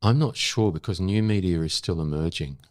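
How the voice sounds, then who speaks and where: Older Australian male interviewee, very low voice, dry close sound, candid and emphatic, thoughtful halting delivery. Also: a little mellow, no room sound. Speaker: interview guest, former journalist. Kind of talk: reflective interview talk.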